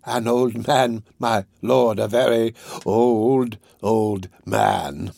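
A man voicing a terrified, deranged old prisoner in a dramatic audiobook, muttering feverishly in broken, drawn-out phrases and cries. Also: lowish voice, steady tone, vocal fry, a little mellow, dry recording, heavily acted. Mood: pleading, feeble. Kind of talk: dramatic audiobook character reading.

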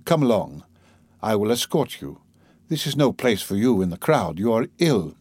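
A man playing a calm, sympathetic official of about fifty in an audiobook, measured and quietly authoritative. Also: lowish voice, breathy edge, no room sound. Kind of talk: dramatised audiobook character reading.

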